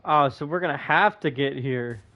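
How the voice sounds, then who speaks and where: A man's voice: on the high side, moderate pace, muffled recording, slight background noise. A man giving casual, offhand let's play commentary as he plays a video game.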